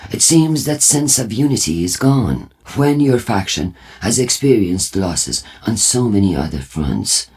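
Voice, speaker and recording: An actor voicing a solemn, prophetic war cult leader in a video game, speaking formally and deliberately with a husky voice. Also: male voice, fairly low pitch, sing-song, darkish tone, heavily acted. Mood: resolute. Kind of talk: scripted video game character dialogue.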